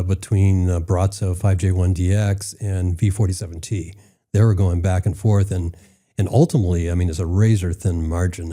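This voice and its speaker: A man's voice: low-pitched, mellow. A man hosting an interview, asking questions in an enthusiastic, chatty way. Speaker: podcast host.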